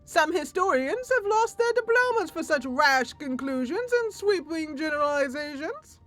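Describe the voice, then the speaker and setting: A woman narrating a roleplayed game as its host, in an expressive, deliberate storytelling style. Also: sits high. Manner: deliberate, theatrical.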